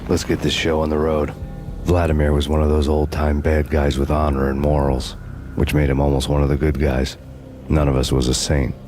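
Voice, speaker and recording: A grim, world-weary ex-cop for a game's noir cutscenes. His voice sits extremely low and barely moves in pitch. He talks deadpan, with dry sarcastic wit. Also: moderate pace. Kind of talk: noir game narration and dialogue. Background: music.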